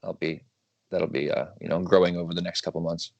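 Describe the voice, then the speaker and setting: A low-pitched man speaks as the guest on a podcast, chatty and rambling, often laughing and correcting himself. The sound is close and dry, with no room echo. His delivery is loose and conversational. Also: level tone, brisk, vocal fry, muffled recording. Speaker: guest composer. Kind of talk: casual interview chat.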